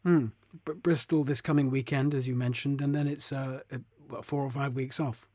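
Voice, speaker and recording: A man working as a sports interviewer, asking conversational questions in a halting, tentative way. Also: medium pitch, steady tone, dark tone, no echo.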